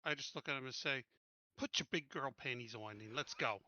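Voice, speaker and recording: A man's voice: medium pitch, a little fast, pushed and bright, no room sound, dull audio. A man chatting casually as a tabletop game player, blunt and plain-spoken.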